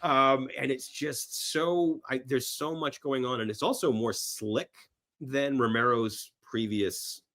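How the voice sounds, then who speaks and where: A man chatting casually and enthusiastically as a podcast guest about films, halting and rambling, sometimes voicing imagined reactions. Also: mid-range voice, fairly bright tone. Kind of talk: casual film talk in an interview.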